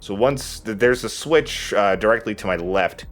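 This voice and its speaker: A man's voice: on the low side, normal speed, a bit bright. A man giving casual gameplay commentary as he plays, talking through it in offhand asides. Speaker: let's player playing the game. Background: video game music.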